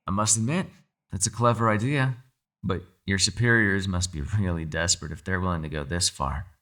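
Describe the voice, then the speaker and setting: A man playing a captive mind-controlling being in an ASMR roleplay, calm, shrewd and persuasive, speaking in a measured, formal, quietly condescending way. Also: low-pitched. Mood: calm bargaining. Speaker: voice actor. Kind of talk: ASMR roleplay, dramatic monologue.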